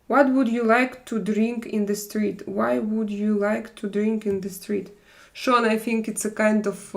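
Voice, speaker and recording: A woman from Russia, hosting a casual live stream chat and searching for her words in halting English. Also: medium pitch, vocal fry, darkish tone.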